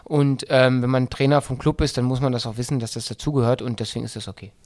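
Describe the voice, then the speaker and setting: A middle-aged man with a light Berlin accent, a football coach talking in a casual interview, halting with many restarts and fillers. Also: mid-range voice, fairly even intonation, darkish tone. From Berlin-Brandenburg.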